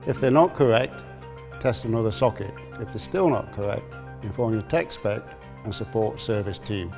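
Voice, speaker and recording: A man's voice: lowish voice, normal speed, a little mellow, faint music behind. A man giving scripted instructions in a training film, recorded in a reverberant space.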